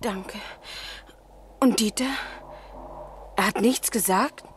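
A woman's voice: medium pitch, slow, a little hiss or hum, close to an everyday voice. An actress playing an anxious, earnest young woman in a German radio crime drama. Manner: nervous, earnest. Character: anxious young woman afraid of her parents.

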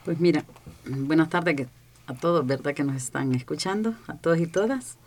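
Honduran woman organizer, calm recounting, lower-pitched, radio panel guest. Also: moderate pace. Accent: Honduran Spanish.

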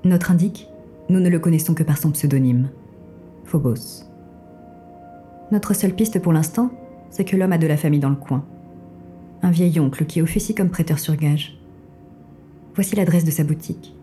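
An actress playing a mysterious resistance agent in a noir audio drama, speaking formally with cool, polite composure and quiet command. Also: female voice, normal speed, pauses often, faint music behind, natural voice. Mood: calm, commanding. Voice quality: low, dark. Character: mysterious female resistance agent guiding the inspector, coolly composed.

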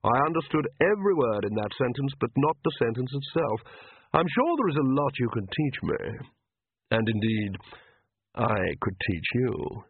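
A man playing a suave, condescending young vampire aristocrat in an audiobook, speaking slowly and with polish. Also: medium pitch, very animated, breathy edge, no room sound, phone-quality sound. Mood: courteous, amused.

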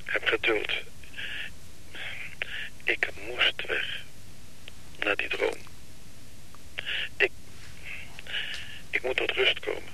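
An actor playing a troubled, evasive man who haltingly and wearily pleads for time in a radio drama. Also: lowish voice, flat delivery, gravelly, sharp tone, heavily acted. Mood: weary, pleading. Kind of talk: Dutch radio drama acting. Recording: noisy radio play recording, telephone scene.

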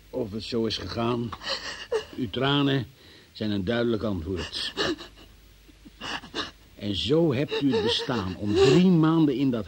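An actor playing a relentless, methodical and sceptical male interrogator in a radio play, with a gravelly, husky voice. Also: lowish voice, heavily acted. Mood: probing, sceptical. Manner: insistent, probing, methodical, sceptical. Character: relentless male interrogator questioning a young murder witness. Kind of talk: Dutch radio play acting.

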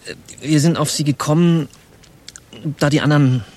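An actor playing a bumbling, henpecked administrative manager who talks haltingly in a satirical radio play. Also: male voice, a little high, steady tone, darkish tone, natural voice. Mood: awkward. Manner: halting, muddled.

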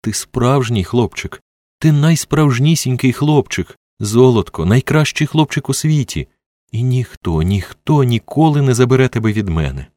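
Audiobook role: devoted female carer, fierce and emotional, animated delivery, acted by a man. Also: low-pitched, normal speed, slightly rough, no room sound, exaggerated voice. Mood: tender, upset. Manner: intense, urgent, emotional.

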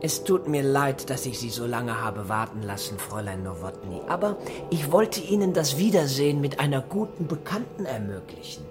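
A man's voice: medium pitch, very animated, over music, lightly acted. Man acting a falsely courteous, menacing Gestapo interrogator. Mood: cold questioning. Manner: mock-polite, condescending, deliberate pauses, dropping to a whisper. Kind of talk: German radio drama acting.

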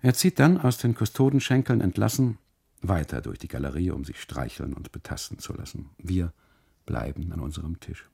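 A man narrating a literary radio monologue in the first person, deliberately, in short phrases broken by pauses. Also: sits low, expressive, vocal fry, darkish tone, breathy edge.

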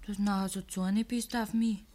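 A young Bavarian farmer's daughter, played by a woman in a rural radio play, speaking in dialect at a medium pitch. She is coquettish and jealous, always probing and needling, and does not let go. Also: steady tone, a little fast, mellow, a little hiss or hum, natural voice. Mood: probing, curious. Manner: probing, teasing, insistent.

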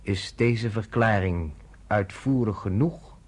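A man's voice: lowish voice, slow pace, darkish tone, slightly rough. An actor playing a blunt American male journalist in a radio drama, talking in a cutting, sardonic, lecturing way.

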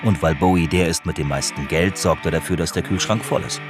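A man's voice: low voice, brisk, over music. A man reading scripted narration for a radio documentary.

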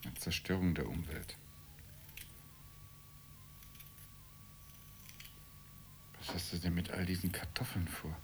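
Radio play husband: grumbling middle-class journalist, very low-pitched and terse, faint noise behind. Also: male voice, steady tone, a little fast. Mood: irritated, weary. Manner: terse, morose. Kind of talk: radio play dialogue acting.

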